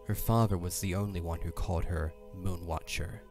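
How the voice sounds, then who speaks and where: Low-voiced male storyteller. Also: steady tone, normal speed. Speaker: narrator. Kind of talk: scripted audio drama narration. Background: soft music.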